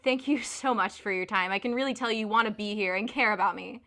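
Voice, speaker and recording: An actress plays a young woman betrayed by her boyfriend in an audio drama. Her voice is bright and forceful, with a biting, sarcastic edge. She swears freely, sounding both hurt and furious. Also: mid-range voice, quick pace. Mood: bitter, sarcastic.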